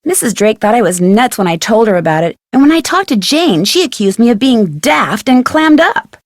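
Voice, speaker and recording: A woman's voice: fairly high pitch, big pitch swings, moderate pace, no echo, clean studio sound. A woman playing a curious young female detective in a video game, speaking conversationally with an American accent in an earnest, matter-of-fact way. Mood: earnest, intrigued. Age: young adult. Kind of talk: video game dialogue acting, conversational phone chat.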